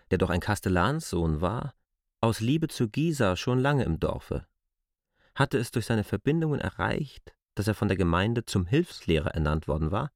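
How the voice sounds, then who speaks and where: Lowish-voiced male literary narrator. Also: no echo, studio quality. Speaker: narrator in a radio play.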